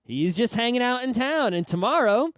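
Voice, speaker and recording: A man's voice: very high voice, animated, moderate pace, a bit bright, no room sound, studio quality. A man co-hosting a podcast in casual conversation, blunt and insistent as he banters. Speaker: podcast co-host.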